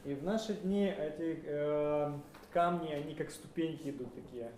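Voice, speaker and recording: A man interprets a sermon live, phrase by phrase, hesitating and correcting himself along the way. His voice is mellow and sits a little higher than most men's. He is recorded in an echoing hall with faint ambience behind him. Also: normal speed. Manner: hesitant, with filler sounds and self-corrections.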